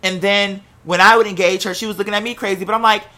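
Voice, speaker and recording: An American podcast host telling a personal story casually, in an animated, candid and profanity-laced way. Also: medium pitch, fast talker. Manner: acts out quoted remarks while telling.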